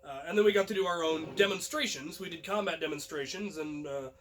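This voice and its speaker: Male storyteller, young adult, high-pitched voice, casual first-person anecdote delivery for an online audience. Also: a little fast, a bit bright. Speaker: host and storyteller.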